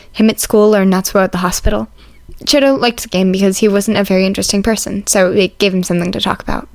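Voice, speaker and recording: A woman's voice: medium pitch, no room sound. A woman reading scripted story narration as an audiobook narrator.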